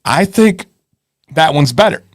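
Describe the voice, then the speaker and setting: A man's voice: fairly high pitch, expressive, measured pace, no room sound, studio quality. Casual, encouraging man hosting a live show. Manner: encouraging, informal, thinks aloud with repeats. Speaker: host of a live beard advice show. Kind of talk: casual live show chat, reading viewer messages and giving advice.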